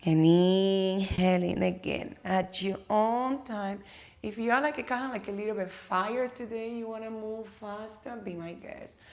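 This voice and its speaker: A woman from Cuba with a Cuban Spanish accent, a native Spanish speaker, guiding a yoga class with slow, soothing cues and playful asides. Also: medium pitch, steady tone, darkish tone. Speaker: yoga instructor.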